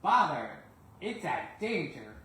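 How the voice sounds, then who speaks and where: Cheeky boastful boy engine, acted by a man, storybook character, teasing, lifted pitch, very echoey room. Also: sing-song, slow pace, sharp tone, full character voice. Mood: excited. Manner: teasing, self-important. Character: cheeky, boastful young male steam engine.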